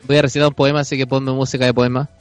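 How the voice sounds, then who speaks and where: A man's voice: medium pitch, level tone, racing, faint music behind. A young man with a Chilean accent joking casually as co-host, repeating his slangy phrases. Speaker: co-host improvising stories and poems.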